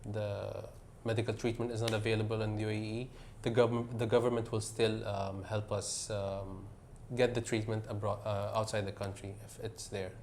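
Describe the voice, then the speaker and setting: Middle-aged emirati guy being interviewed, lowish voice, lots of ums, faint hiss behind. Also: little pitch movement, normal speed, hardly any pauses, darkish tone. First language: Arabic. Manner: hesitant, many fillers, mixes English and Tagalog. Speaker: guest. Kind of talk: casual interview answers.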